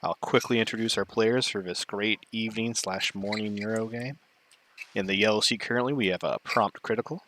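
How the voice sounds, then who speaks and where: A man's voice: fairly low pitch, a little fast, a little hiss or hum. A man hosting a board game tournament stream, introducing players and chatting casually with his viewers. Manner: relaxed, chatty, loose asides to chat.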